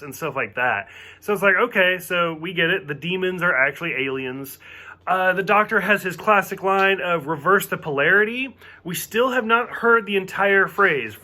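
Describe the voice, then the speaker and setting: A man's voice: high voice, pushed and bright. A man from the southern United States with a mostly hidden Southern accent, chatting casually through a TV review and rambling with lots of fillers. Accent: light Southern US, mostly covered.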